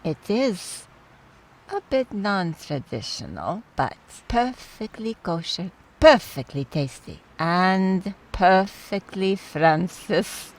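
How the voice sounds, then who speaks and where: A woman's voice: mid-range voice, sing-song, slow. A woman playing a fond, opinionated, wry older aunt in mourning, in radio play dialogue. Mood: wry, nostalgic.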